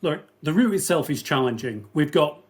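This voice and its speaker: A man from the north of England, a former soldier and event organizer, answering interview questions in a measured, storytelling way. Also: fairly high pitch, lively intonation. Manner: measured, storytelling, addresses host by name.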